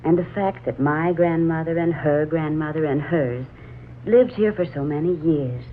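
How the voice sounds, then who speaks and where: A woman's voice: sits low, normal speed, natural voice. A tinny, lightly hissing 1940s radio recording holds an actress playing an anxious young woman. She is earnest and wistful, choosing words with hesitation, in the style of period drama. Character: anxious, wistful young woman attached to her family house.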